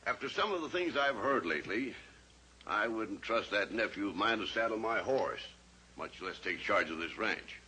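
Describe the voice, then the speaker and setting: An actor playing a blunt, stubborn rancher and father who talks gruffly and plainly in a 1950s radio drama. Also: lowish voice, animated, normal speed, bright, forceful tone. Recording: old radio recording with faint hiss.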